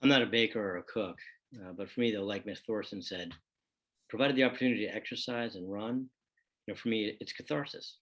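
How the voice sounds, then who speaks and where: A man playing an earnest, self-disciplined person in documentary theater, speaking in a measured, reflective way. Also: fairly low pitch, a bit bright.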